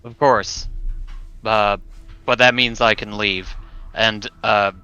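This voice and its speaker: A man joins a tabletop game in casual conversation. His voice is fairly low but extremely sharp and forceful, very clean in tone, and recorded dry with no room sound. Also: steady tone, takes it slowly, clear, resonant tone, no echo, highs cut off.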